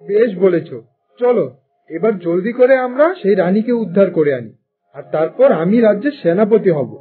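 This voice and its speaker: A determined cartoon peasant, played by a man, with a high-pitched voice. He sounds earnest and respectful, an obedient farmer ready to serve. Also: expressive, moderate pace, narrow, tinny audio, soft background music. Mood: eager. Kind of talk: Bengali cartoon dubbing.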